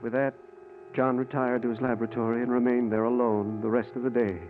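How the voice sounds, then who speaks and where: An actor playing an anxious, conscience-stricken scientist with an earnest, grave manner in 1940s radio drama style. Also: male voice, medium pitch, level tone, a little fast, narrow, tinny audio, close to an everyday voice. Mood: grave narration. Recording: noisy, band-limited 1940s radio recording.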